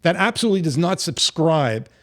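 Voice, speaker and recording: A man co-hosting a podcast, arguing politics in quick, interrupting bursts. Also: a little high, expressive, fairly bright tone. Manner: interrupting, argumentative, fast bursts. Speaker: podcast co-host. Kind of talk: political debate chat.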